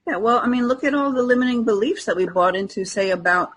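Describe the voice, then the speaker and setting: A woman co-hosting a casual, conversational spiritual discussion podcast, with a slightly husky voice. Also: fairly high pitch, fast. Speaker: podcast co-host.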